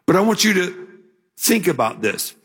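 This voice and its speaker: A man's voice: high voice, normal speed, slightly rough. A middle-aged male pastor preaching a sermon, telling a personal story and acting out the quoted lines. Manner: storytelling, animated voices for quotes.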